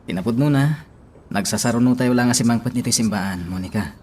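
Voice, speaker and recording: A man acting a calm, attentive priest in an Ilocano radio drama. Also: medium pitch, fairly quick, slight background noise, natural voice.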